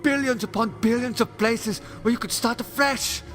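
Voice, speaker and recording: Man acting alien adventurer hero, high male voice, sardonic, impassioned, lecturing, audio drama, strong music bed. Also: fairly even intonation, moderate pace, fairly bright tone. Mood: pleading, impassioned. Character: indignant, argumentative male alien time-traveller hero.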